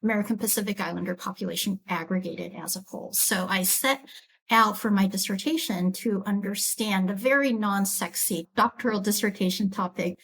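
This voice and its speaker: A woman's voice: medium pitch, fairly quick, creaky, fairly bright tone, breathy edge, poor audio. A woman with a mid-range voice explains her research carefully. She sounds thoughtful, with small restarts as she works out her points. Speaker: epidemiology professor, podcast guest.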